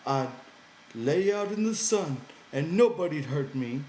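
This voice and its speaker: A man's voice: on the high side, lively intonation, unhurried, a little mellow, echoey room, a little hiss or hum, pitched above the performer's own voice, low-quality recording. A man voicing a big, childlike, simple-minded ranch hand who pleads and whines in an audiobook. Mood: hurt, wistful. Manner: whiny, pleading, childlike, ungrammatical.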